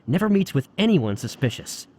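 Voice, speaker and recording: A man voicing a frank, husky intelligence agent reporting in, in a video game. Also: fairly high pitch, very animated, brisk, darkish tone. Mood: frustrated.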